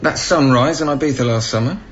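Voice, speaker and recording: An actor playing a patient, conscientious young council officer, gentle and hesitant, in a naturalistic radio drama. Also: male voice, mid-range voice, fairly quick, muffled recording, natural voice. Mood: fond, reflective.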